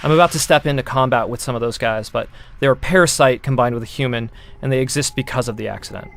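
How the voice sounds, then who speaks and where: A man giving gameplay walkthrough commentary as a producer presenting a demo, in an explanatory, measured manner. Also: medium pitch, fairly bright tone. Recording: clear game sound noise behind.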